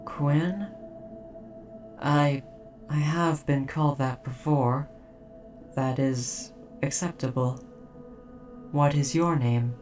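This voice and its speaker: A performer voicing a dutiful robot soldier in an audio drama, speaking in a formal, precise, measured and softly hesitant way. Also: medium pitch, fairly even intonation, recorded from a distance, soft background music, close to an everyday voice. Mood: gentle, dutiful. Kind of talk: scripted roleplay audio drama.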